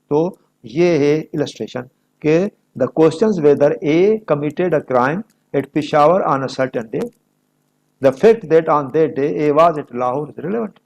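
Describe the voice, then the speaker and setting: A Pakistani man teaches in the manner of a lecturer. His voice sits fairly high for a man and carries a heavy gravelly creak. He is didactic, often putting a question and then answering it himself. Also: a little mellow. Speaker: law lecturer. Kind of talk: explanatory lecture mixing Urdu and English.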